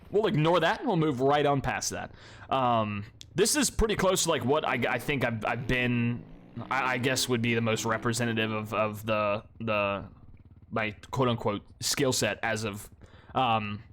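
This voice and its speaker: A young man with a stuffy, congested voice talks loosely over his gameplay, tripping over his words and swearing now and then. His pitch sits in an ordinary middle range. Faint game noise hums behind him. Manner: rambling, many fillers and restarts, relaxed swearing. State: congested, sniffling. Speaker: gaming youtuber. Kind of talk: casual commentary over replays.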